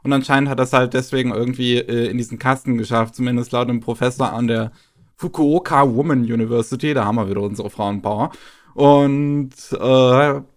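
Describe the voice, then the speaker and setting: A man's voice: medium pitch, fairly even intonation, fairly quick. Male co-host, mid-range voice, informal conversational delivery. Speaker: podcast co-host. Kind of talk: casual chat.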